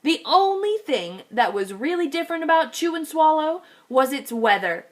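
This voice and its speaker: Lively woman reading a picture book to kids. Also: high voice, normal speed. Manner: lively, expressive reading to young children. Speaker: kindergarten teacher. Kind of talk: read-aloud storytelling for children.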